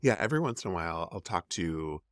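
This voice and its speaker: A man's voice: deep voice, very animated, fast talker, no room sound, studio quality. A man hosting a podcast in casual interview chat, engaged and affirming as he thinks aloud. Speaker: podcast host.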